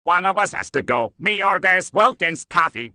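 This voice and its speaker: A man's voice: high voice, animated, moderate pace, sharp tone, no room sound, studio quality, full character voice. A man playing a grumpy, contrary cartoon creature in a commercial skit, curt and reluctant about everything. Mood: contrary, irritated.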